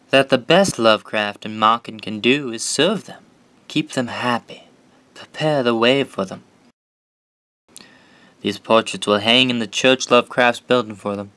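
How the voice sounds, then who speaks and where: An actor playing an eager, devoted young painter and asylum patient, informal and fervent, in an audio horror drama. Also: male voice, medium pitch, a bit bright, no echo, lightly acted.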